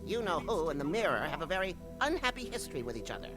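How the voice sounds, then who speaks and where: Courteous, bookish monkey helper, lilting and lively, fussy, game music behind. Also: male voice, high-pitched, fast. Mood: fussy, explanatory. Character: polite, fussy little male flying monkey assistant. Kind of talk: video game dialogue acting.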